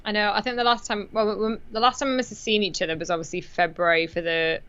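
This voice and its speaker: A woman's voice: mid-range voice, steady tone, fast talker, a bit bright, clear, resonant tone. A woman in her thirties talking in a friendly, casual way as an interview guest over a video call, with lots of fillers. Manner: friendly, laughs easily, many fillers like "like" and "obviously". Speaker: music PR guest. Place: home room. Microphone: headset, video call. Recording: dull lo-fi video call audio.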